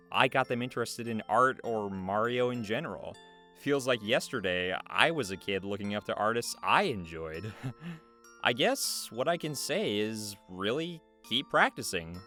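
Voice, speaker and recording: A man's voice: on the low side, pushed and bright. A man reading out interview questions and answers in a casual, jokey, playful way. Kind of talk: casual scripted interview narration. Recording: music behind the voice. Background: video game music.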